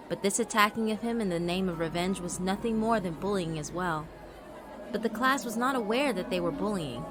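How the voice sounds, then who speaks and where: A woman narrating a horror visual novel in a steady, measured way. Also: on the low side, background noise.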